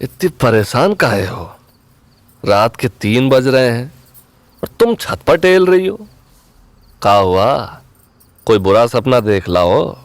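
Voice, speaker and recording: An actor playing a taunting, mocking young village thug in an audio drama, the villain of the story. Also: male voice, medium pitch, very animated, slow, long pauses, no echo. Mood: teasing. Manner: mocking, leering, laughs at others' pain.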